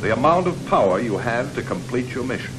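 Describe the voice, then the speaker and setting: American 1950s training film narrator, animated man. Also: mid-range voice, brisk. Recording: noisy 1950s film soundtrack.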